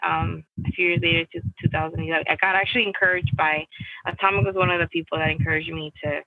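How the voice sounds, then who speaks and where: A woman's voice: deep voice, fairly even intonation, fast talker, phone-quality sound. A young adult woman from Miami, answering interview questions in a casual, rambling way with many restarts and "like" fillers. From United States (Miami). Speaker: guest artist.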